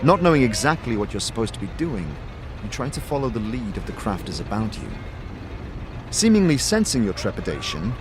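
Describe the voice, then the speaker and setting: A man's voice: on the low side, big pitch swings, brisk, music in the background. A man giving dramatic, scripted second-person narration for a fantasy audio drama.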